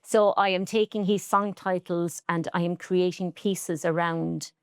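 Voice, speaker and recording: A middle-aged Irish woman with a Cork lilt and a lowish voice, talking in an interview. She is earnest and reflective, with a clear pride in her heritage. The sound is dry and close, with no room around it. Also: steady tone, measured pace, no room sound. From Ireland. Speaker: textile artist guest. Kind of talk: relaxed interview conversation.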